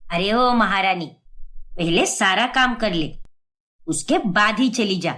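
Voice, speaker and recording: A woman's voice: medium pitch, slow, bright, forceful tone, pitched below the performer's own voice. A woman voicing a haughty middle-aged mother-in-law from a cartoon story, taunting, bossy and boastful.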